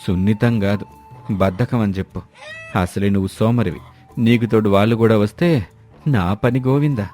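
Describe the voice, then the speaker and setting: A man's voice: on the low side, normal speed, long pauses, mellow, soft background music, close to an everyday voice. A man playing a hardworking, grumbling village husband in a moral story cartoon. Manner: grumbling, complaining.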